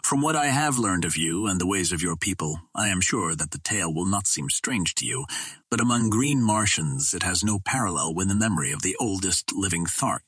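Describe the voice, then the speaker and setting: A man voicing Sola, a lonely, gentle young female green Martian, speaking softly and wistfully in an audiobook. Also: deep voice, lively intonation, a little fast, slightly rough, no echo, exaggerated voice.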